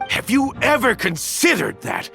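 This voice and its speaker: A man playing a bitter, vengeful traitor in a video game, with a gravelly voice and a theatrical, accusatory delivery. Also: high-pitched, wildly swooping pitch, a little slow, sharp tone, soft background music, heavily acted. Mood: scornful. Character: bitter, vengeful male traitor nursing an old grudge. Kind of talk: dramatic video game voice acting.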